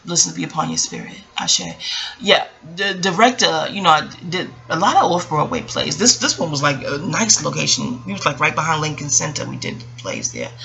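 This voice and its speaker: A woman's voice: sits low, sing-song, moderate pace, creaky, sharp tone, faint music behind. An American woman vlogging in a casual, reflective storytelling monologue, rambling off on tangents and talking straight to her listeners. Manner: rambling and digressive, addressing listeners directly.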